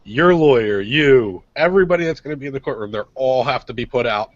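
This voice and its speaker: An American man co-hosting a casual radio show, talking haltingly and repeating words as he starts. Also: medium pitch, animated, dry recording. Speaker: radio show co-host and activist blogger. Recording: dull, lo-fi audio.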